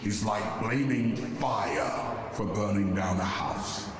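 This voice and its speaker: A man playing a solemn, weary warrior in a video game, speaking gravely and formally. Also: lowish voice, soft background music. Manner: grave, deliberate, formal storyteller speech. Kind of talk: dramatic video game cutscene acting. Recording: cavernous long reverb over noisy ambience.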